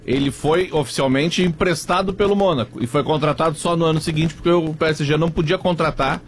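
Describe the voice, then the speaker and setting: A man's voice: a little high, fairly quick, a little hiss or hum. Matter-of-fact male sports panelist. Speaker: radio panelist. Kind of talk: casual sports talk.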